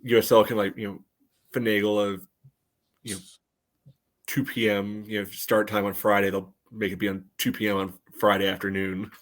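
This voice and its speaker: Male podcast co-host and club historian, lower register, casual but considered, feels his way through each point. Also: level tone. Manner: halting, hedging, thoughtful. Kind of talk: casual sports podcast chat.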